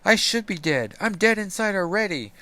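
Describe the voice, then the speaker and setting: A man voicing a teenage girl sorceress, resigned and solemn about her dark destiny. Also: high-pitched, expressive, normal speed, pitched above the performer's own voice. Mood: sorrowful. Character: sorrowful teenage sorceress queen.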